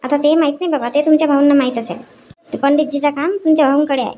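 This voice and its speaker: A woman acts out a busy village housewife for a cartoon comedy. Her voice sits high, and she talks in homely rural Vidarbha Marathi, fretting and organising as she goes. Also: quick pace, dark tone, a little hiss or hum, natural voice. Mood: brisk, organising.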